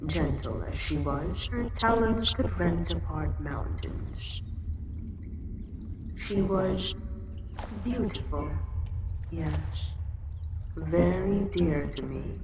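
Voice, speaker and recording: A woman voicing an ancient, regal kaiju queen in a sci-fi audio drama, speaking in a measured, formal, matter-of-fact and protective way. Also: low voice, full character voice. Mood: wistful, fond. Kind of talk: scripted roleplay audio drama monologue. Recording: very echoey cavern-like reverb, loud background noise.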